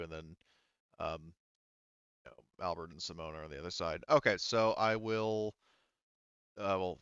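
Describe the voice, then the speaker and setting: A man working as game master, talking casually through tabletop rules in a halting way, thinking aloud. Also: sits low, fairly bright tone, clean tone, no echo, lo-fi sound.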